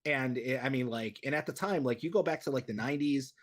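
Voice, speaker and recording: A man's voice: medium pitch, fast talker. A man in his thirties, born in the United States, chatting as a podcast guest in a rambling way full of fillers and restarts. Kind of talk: casual interview chat.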